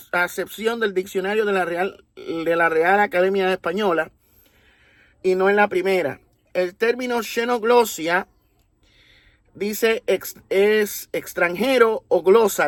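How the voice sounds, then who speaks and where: Puerto Rican male commentator, deliberate teacherly delivery, unhurried pace. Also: sits high, fairly bright tone, dry recording. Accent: Puerto Rican Spanish. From Puerto Rico. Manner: deliberate, teacherly, with rhetorical tags. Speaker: religious commentator. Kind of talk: explanatory monologue.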